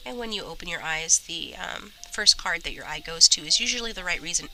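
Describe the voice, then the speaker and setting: A woman with a stuffy, slightly rough voice from a cold, chatting casually as she gives a tarot reading. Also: fairly low pitch, expressive, fairly quick, pushed and bright, a little hiss or hum, rough recording. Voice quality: a little rough. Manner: chatty, many fillers and restarts. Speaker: tarot reader.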